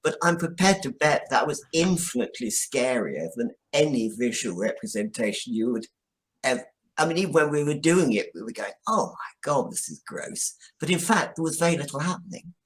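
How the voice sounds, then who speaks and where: A man giving reflective interview answers as a guest, explaining things in a thoughtful, halting way. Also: medium pitch, sing-song, poor audio. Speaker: guest, drama writer.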